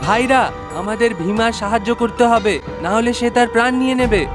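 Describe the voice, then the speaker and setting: A man's voice: very high-pitched, fairly quick, music in the background. A man playing an earnest, brave village husband in a cartoon drama, speaking in a declamatory way. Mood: urgent.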